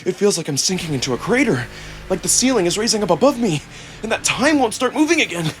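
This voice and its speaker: A man reading a visual novel in the first person as a theatrical, expressive narrator. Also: high-pitched, fast talker. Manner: theatrical, expressive, acts out emotion. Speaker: narrator and performer. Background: music.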